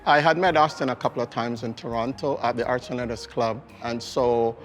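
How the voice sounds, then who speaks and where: A man's voice: medium pitch, steady tone, brisk, soft background music. A man answering interview questions as an artist, explaining his process conversationally, step by step. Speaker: portrait artist being interviewed.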